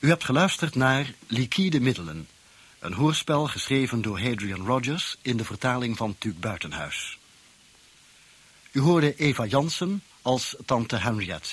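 A man with a slightly husky voice reading scripted credits as a radio announcer. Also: mid-range voice.

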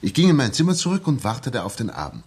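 Earnest, husky-voiced ex-soldier. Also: male voice, medium pitch, huge pitch swings, fast, a little mellow, exaggerated voice. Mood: earnest, suspenseful. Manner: earnest, hesitant, repeating words when agitated. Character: earnest, shaken young English ex-soldier telling his story. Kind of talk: 1960s German radio drama acting, dramatic narration.

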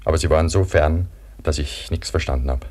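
An actor playing a frightened man buried alive under avalanche snow, halting and brooding, in a German radio play. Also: a bass voice, darkish tone. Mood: haunted, numb. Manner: halting, brooding inner narration breaking into desperate calls. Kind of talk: German radio play acting, monologue narration and dialogue.

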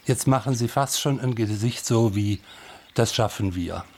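An actor playing an onlooker describing a scene in simple colloquial words for a radio drama. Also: male voice, on the low side, a little slow, darkish tone, slight background noise. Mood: quiet wonder.

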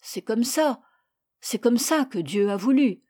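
A woman's voice: a little high, animated, unhurried, mellow. A woman voicing a kind but stern father who answers his son in a firm, insistent way.

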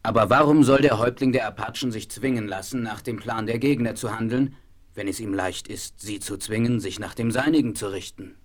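A man acts out a proud Apache chief in a 1970s German radio play. His voice is low, and he speaks in solemn, formal phrases, with measured weight on his words. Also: close to an everyday voice. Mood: resolute. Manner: formal, measured, speaks of himself in third person. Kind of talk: 1970s German audio drama acting.

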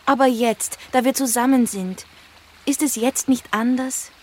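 An actress playing an affectionate young daughter in a radio drama, speaking with a soft, breathy voice. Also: female voice, fairly high pitch, steady tone, a little slow, slight background noise, natural voice. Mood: gentle, anxious. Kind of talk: scripted German radio drama acting and narration.

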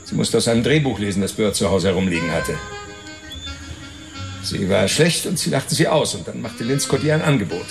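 An actor playing a slick, crude, cynical fixer, talking tough and coarse in a noir radio drama. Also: male voice, lowish voice, animated, fairly quick, creaky, over music. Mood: matter-of-fact recounting.

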